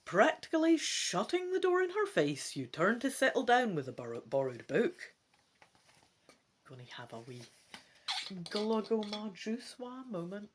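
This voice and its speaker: A woman hosting a gamebook playthrough, reading dramatically in an expressive, playful way with casual asides. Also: medium pitch, unhurried, a little hiss or hum. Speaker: host of a gamebook let's play.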